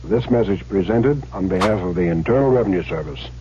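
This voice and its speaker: A male announcer with a fairly low voice reads a scripted public service message. The vintage radio recording is muffled, with a faint hum. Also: expressive, brisk.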